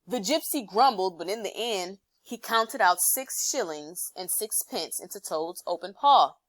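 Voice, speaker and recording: Slow-paced woman reading a storybook aloud. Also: mid-range voice, expressive, no echo. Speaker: storybook reader. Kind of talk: expressive scripted narration for children.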